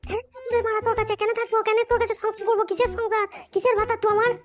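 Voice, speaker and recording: A woman voicing a foul-mouthed, nagging young wife in a comedy cartoon, with a West Bengal accent and a pitched-up voice. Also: flat delivery, racing. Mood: defiant. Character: shrill, quarrelsome young wife, defiant and aggrieved. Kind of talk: amateur cartoon comedy squabbling. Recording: dull lo-fi sound, music behind.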